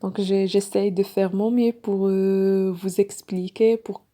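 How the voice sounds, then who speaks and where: A woman's voice: mid-range voice, level tone, slow pace, dark tone. A woman who is not a native French speaker, giving a step-by-step sewing tutorial in a hesitant way, with many fillers and restarts. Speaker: sewing instructor.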